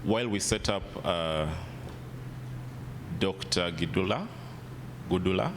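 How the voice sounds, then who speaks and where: A man working as the emcee of an event, making announcements in a halting way with restarts. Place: large event hall. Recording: long hall reverb, loud rumble.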